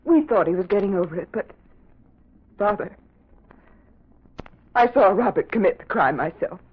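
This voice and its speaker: A woman's voice: mid-range voice, animated, normal speed, breathy edge. A young woman acting a distressed, earnest daughter of a murdered man in a radio drama. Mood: grave, pleading. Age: young adult. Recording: dull lo-fi radio sound.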